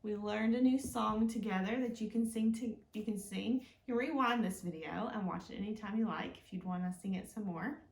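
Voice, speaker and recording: A woman leading a children's storytime as a librarian, reading aloud expressively and talking gently and simply to young listeners. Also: mid-range voice, brisk, gravelly, hardly any pauses. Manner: gentle, simple, encouraging talk aimed at small children. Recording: roomy, echoing indoor sound.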